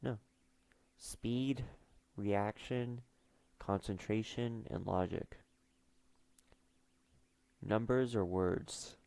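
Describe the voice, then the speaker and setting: A man's voice: fairly low pitch, steady tone, extremely slow, vocal fry, long pauses, a little mellow, dry recording. A teenage boy streaming casually, mumbling and trailing off with fillers as he reads questions aloud. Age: teens. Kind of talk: casual livestream chat.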